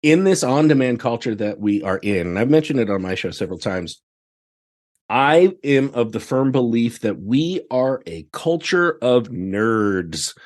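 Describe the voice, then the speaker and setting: American male podcast guest, mid-range voice, animated casual storyteller. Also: mid-range voice, measured pace. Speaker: podcast guest, theatre director.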